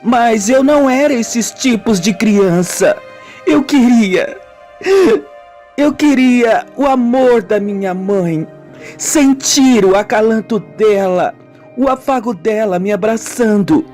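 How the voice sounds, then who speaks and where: A woman with a Brazilian accent playing a wounded, brooding woman confessing her childhood in a melodramatic, deliberate radio soap style. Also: on the high side, darkish tone. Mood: bitter longing. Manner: slow, melodramatic, deliberate storytelling. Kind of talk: amateur radio soap acting. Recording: music bed under the voice.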